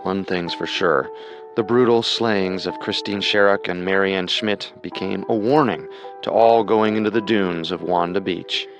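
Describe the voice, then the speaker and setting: Low-voiced man narrating true crime. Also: fairly even intonation, unhurried, music in the background.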